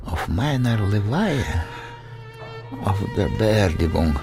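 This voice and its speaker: A dying old grandpa, yiddish accent, super slow and croaky, tired and tender, radio play w music. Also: male voice, fairly low pitch, expressive, heavily acted. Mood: weak, pleading. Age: older. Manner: halting, slow phrases with murmured assents. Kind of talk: modern German radio drama acting.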